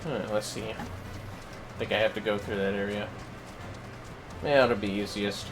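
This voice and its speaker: A man's voice: on the low side, fairly even intonation, normal speed, over music. A man streaming a video game, chatting casually and muttering to himself as he plays. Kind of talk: casual livestream chat while gaming.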